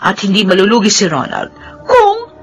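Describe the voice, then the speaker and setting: A woman's voice: medium pitch, huge pitch swings, normal speed, soft background music. An actress in a radio play voicing a boastful, well-off woman who gushes about her husband's money. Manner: boastful, gushing. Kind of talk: radio drama acting.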